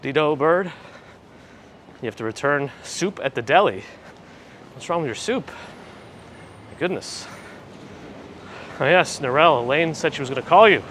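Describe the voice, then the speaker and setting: A man hosting a live walking stream, chatting casually and reading viewer comments with dry asides; his voice is a little phlegmy and gravelly. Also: a little high, expressive, unhurried, long pauses, a bit bright. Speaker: livestream host. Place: walking outdoors on city streets. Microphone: handheld gimbal camera rig with recorder. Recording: street noise behind the voice. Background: traffic and city ambience.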